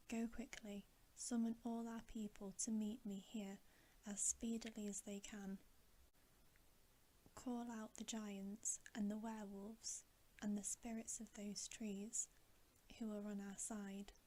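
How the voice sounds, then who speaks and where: A woman's voice: medium pitch, level tone, takes it slowly, mellow, slight background noise, close to an everyday voice. A woman voicing a cold, scornful, commanding witch queen in a whispered storybook reading.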